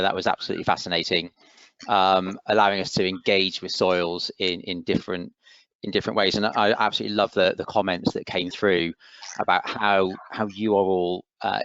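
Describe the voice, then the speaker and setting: Male meeting host, low-voiced, hesitant and appreciative, unscripted, on a muffled lo-fi call. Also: fairly bright tone, no room sound. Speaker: soil surveyor, meeting host. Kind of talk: appreciative off-the-cuff remarks. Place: online video meeting. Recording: dull, lo-fi online call.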